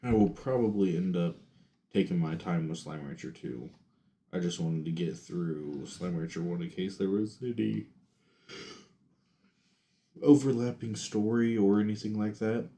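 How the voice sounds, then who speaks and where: Slow, deep-voiced man chatting on stream. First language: English. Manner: relaxed, rambling, thinks aloud with fillers. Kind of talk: casual gaming stream chat. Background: faint music and keyboard typing.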